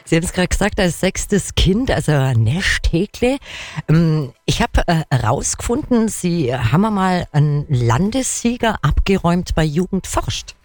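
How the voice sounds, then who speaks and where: Lively-voiced woman hosting a radio talk. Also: fairly low pitch, normal speed, dry recording. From Kempten, Allgäu, Germany. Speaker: radio talk show host. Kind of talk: casual, friendly interview chat.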